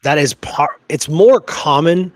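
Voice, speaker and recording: A man co-hosting a history talk show in casual conversation, agreeable and quick to interject confirmations. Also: a little high, lively intonation, no room sound. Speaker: podcast co-host.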